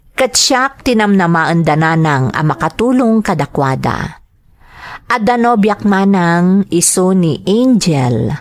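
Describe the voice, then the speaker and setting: A woman's voice: fairly low pitch, no room sound, studio quality. A woman with an Ilocano accent reading greetings and letters as a podcast host and narrator.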